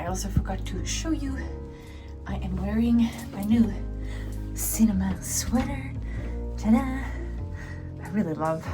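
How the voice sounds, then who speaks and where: A young woman whose first language is Swedish, chatting casually in a relaxed, rambling way as she vlogs outdoors in the rain. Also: mid-range voice. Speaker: vlogger. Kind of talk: casual vlog chat. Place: outdoors in rainy city streets.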